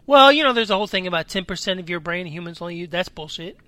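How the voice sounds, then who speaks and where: A man in a casual podcast chat with a slightly raspy voice, talking loosely and jumping between topics. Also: sits high, lively intonation, fast, bright, forceful tone, dry recording. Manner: chatty, jumps between topics. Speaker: podcast co-host. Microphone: home microphone.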